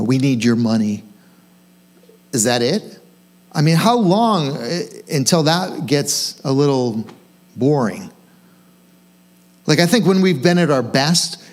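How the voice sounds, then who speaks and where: A male pastor gives an informal, conversational sermon in a mid-pitched voice. He poses questions to himself and his listeners, then leans on key words for emphasis. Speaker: senior pastor. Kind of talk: informal sermon to own congregation.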